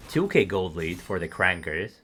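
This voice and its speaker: A man with a low voice casts a live esports match from his own stream. He talks in a loose, chatty way and laughs a lot between plays. A faint hiss sits under the voice. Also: moderate pace. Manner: relaxed, laughs often, chatty. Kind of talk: casual live esports commentary.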